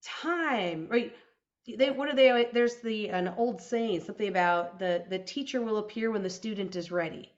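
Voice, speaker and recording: A woman in her forties co-hosting a podcast, talking in a reflective, animated way about self-awareness. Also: medium pitch, moderate pace, muffled recording. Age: 40s. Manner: reflective, animated storytelling with laughs. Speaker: podcast co-host. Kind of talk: casual conversation. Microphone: video call.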